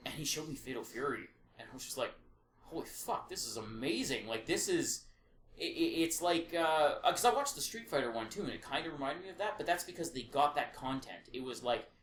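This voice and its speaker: A man's voice: a little high, expressive, brisk, a bit bright. A man co-hosting a casual podcast, giving emphatic, sweary opinions. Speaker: podcast co-host. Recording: roomy echo, faint background noise.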